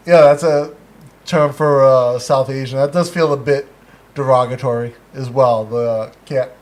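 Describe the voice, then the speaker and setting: Casting: American male livestream host, casual and conversational, reflective and measured when explaining. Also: medium pitch, fairly even intonation. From United States.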